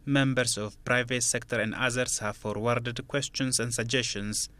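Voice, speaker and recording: A man reads a scripted news report in a formal, even way. His voice is bright and forceful. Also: medium pitch, moderate pace. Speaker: news narrator.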